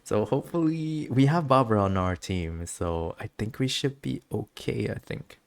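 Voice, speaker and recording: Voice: mid-range voice, expressive, normal speed, gravelly, no room sound. A streamer chatting casually to viewers over gameplay in a giggly, rambling way, reading game text aloud at times.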